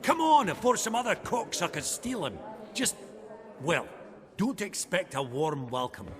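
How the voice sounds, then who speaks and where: Chattering socialite for game: high, very animated, effusive, irreverent teasing. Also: male voice, measured pace, a crowd in the background. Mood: excited, ingratiating.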